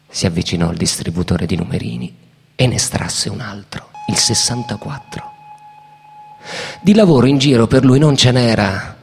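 A man's voice: mid-range voice, creaky, breathy edge. A man delivering theatrical scripted narration as a storyteller. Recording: reverberant hall. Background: music.

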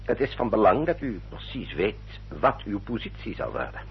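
An actor playing a courteous English family solicitor in a radio drama, speaking in a formal, measured, explanatory manner. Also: male voice, on the low side, sing-song, vocal fry, a little hiss or hum. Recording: dull, muffled old radio recording.

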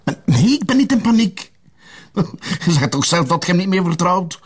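A man with a Flemish accent playing an anxious, strained father with secrets, speaking tensely and haltingly in a TV drama. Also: sits high, sing-song, breathy edge, heavily acted. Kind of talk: TV drama dialogue.

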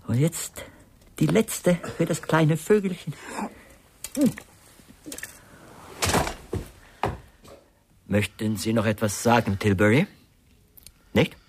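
An actor playing a coldly methodical, mocking murderer in a radio crime drama, speaking deliberately and insinuatingly, often dropping to a murmur. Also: male voice, medium pitch, sing-song, a little hiss or hum. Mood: mocking, coaxing. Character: coldly methodical murderer, American writer husband, mocking and controlled. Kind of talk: German radio crime drama acting with interior monologue.

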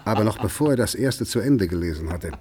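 Radio drama narrator: man, very low, husky voice, scripted literary storytelling. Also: animated, fast talker, a little mellow.

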